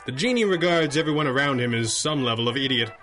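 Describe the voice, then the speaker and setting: An actor playing an unflappable, urbane masked hero in a 1930s-style radio serial, dryly amused and understated. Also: male voice, mid-range voice, lively intonation, fast, bright, forceful tone, faint music behind. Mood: calm, wry. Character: suave, unflappable male masked superhero boss, dryly amused.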